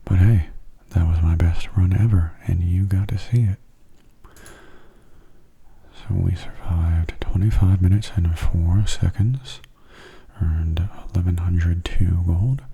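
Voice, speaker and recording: Very soft, husky low-voiced man. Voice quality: breathy, hushed, often half-whispered. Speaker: video game streamer, ASMR host. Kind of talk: soft-spoken ASMR gameplay commentary.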